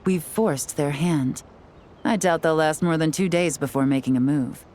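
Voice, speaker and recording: An actress playing a cool, confident intelligence operative in a video game, briefing allies with composed assurance. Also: female voice, deep voice, moderate pace, darkish tone, slight background noise, close to an everyday voice.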